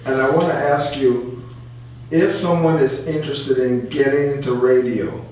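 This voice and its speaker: A man hosting a casual conversational interview, repeating his opening words haltingly as he steers the talk. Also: mid-range voice, steady tone, darkish tone, soft background music. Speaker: interviewer, radio show host. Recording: very echoey room, distant mic, faint noise.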